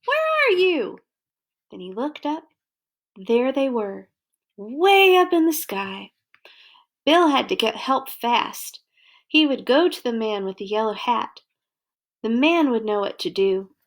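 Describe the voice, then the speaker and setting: A woman storyteller reading a children's story aloud, expressively, with deliberate dramatic emphasis. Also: fairly high pitch, animated.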